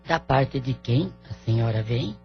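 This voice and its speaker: An actor playing an elderly, businesslike woman spiritual worker in an audio drama, speaking in a measured, formal way. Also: mid-range voice, expressive, creaky. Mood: guarded, assured. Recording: dull lo-fi audio with music underneath.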